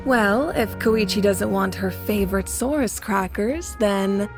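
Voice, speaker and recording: A woman playing a gracious, composed female ruler in a video game, speaking in a measured, authoritative manner. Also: mid-range voice, music in the background. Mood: kindly.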